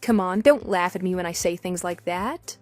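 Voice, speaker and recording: Actress as a sweet, chatty wife. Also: female voice, fairly low pitch, normal speed, no room sound, faint music behind, natural voice. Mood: fond, playful. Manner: chatty, affectionate. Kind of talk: scripted texting-story radio drama.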